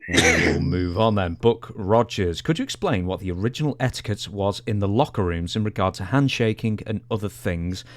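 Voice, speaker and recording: A man with a fairly low voice hosts a podcast conversation. He puts questions in a conversational way, and the sound is very dry, free of room echo. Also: lively intonation, normal speed, hardly any pauses. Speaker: podcast host asking questions. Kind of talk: conversational interviewing.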